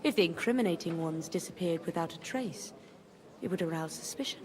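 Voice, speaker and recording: A woman's voice: fairly low pitch, animated, fairly quick, darkish tone, natural voice. An actress playing a shrewd, wry widowed medieval noblewoman in a video game, composed and dry in delivery. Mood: firm, cautious. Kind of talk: video game dialogue acting.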